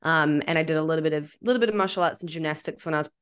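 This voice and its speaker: Low-voiced New Zealand woman. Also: racing, clear, resonant tone. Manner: candid, reflective, many restarts. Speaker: guest, CrossFit coach and athlete. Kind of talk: casual interview talk. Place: small room, video call. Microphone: studio mic with headphones.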